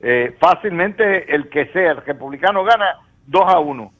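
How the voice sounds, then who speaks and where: Puerto Rican radio host, dull audio. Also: male voice, on the high side, lively intonation, normal speed, fairly bright tone. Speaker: host moderating a political panel. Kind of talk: live political radio debate.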